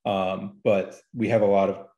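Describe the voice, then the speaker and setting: A man talking casually as a podcast guest about statistics, often halting and correcting himself as he goes. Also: on the low side, level tone, mellow, dull audio. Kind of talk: casual discussion of game statistics. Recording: roomy, echoey sound.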